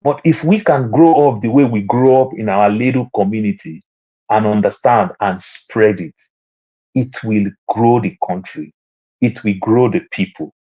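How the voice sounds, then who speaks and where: Low-voiced Nigerian man, lo-fi online call. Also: measured pace. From Lagos, Nigeria. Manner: storytelling, climbs higher and louder when making a point. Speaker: panel speaker. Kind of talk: online panel discussion. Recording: dull lo-fi online audio.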